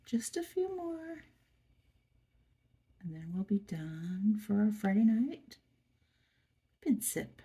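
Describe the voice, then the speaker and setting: Soft-spoken stuffy woman, gravelly and mellow. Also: medium pitch, very animated, takes it slowly, pauses often. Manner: soft, chatty, murmuring to viewers. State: stuffy nose. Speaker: craft channel host. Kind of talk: casual chat while crafting. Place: at her workplace. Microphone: phone camera.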